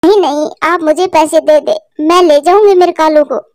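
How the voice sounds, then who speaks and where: A woman's voice: normal speed, darkish tone, dry recording, clean studio sound, natural voice. A woman doing a bossy scheming crow wife. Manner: shrill, bossy.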